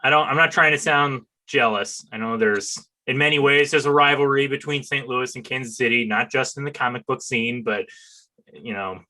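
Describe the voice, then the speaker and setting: An American man chatting casually as an interview host, with an animated, enthusiastic manner. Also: medium pitch, moderate pace.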